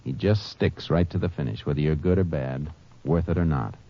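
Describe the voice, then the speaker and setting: A laconic Texas Ranger, low-voiced. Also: male voice, brisk. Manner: laconic and even-tempered. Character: calm, steady male Texas Ranger lawman. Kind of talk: 1950s radio drama acting and narration. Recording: noisy, dull old radio transcription.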